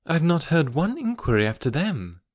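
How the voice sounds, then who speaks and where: A man voicing a clever young woman who steers talk briskly and tactfully, in an audiobook. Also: fairly high pitch, sing-song, no room sound, studio quality, voice pushed up. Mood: brisk teasing.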